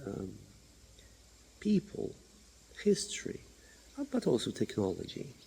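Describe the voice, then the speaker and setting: A man gives a video self-introduction as a university coordinator, speaking with lively, swooping pitch and halting pauses. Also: vocal fry, slightly rough, rough recording. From Poland. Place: remote village.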